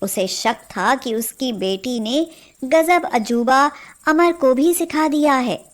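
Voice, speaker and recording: A woman narrating a scripted fairy tale as a storyteller, in a measured manner. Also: fairly high pitch.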